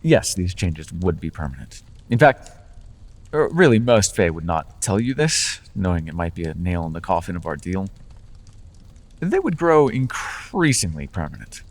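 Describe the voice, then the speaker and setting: A man's voice: sits low, big pitch swings, moderate pace, long reverb tail, heavily acted. A man voicing a playful male fae patron in an ASMR fantasy roleplay, talking in a charming, teasing, conversational way with small restarts.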